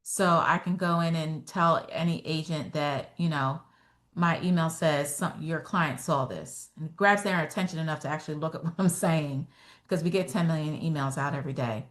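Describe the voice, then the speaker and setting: A woman real estate agent and trainer answering podcast interview questions in a steady, self-assured way, explaining step by step with fillers. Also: sits low, moderate pace. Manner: firm and self-assured about her own voice.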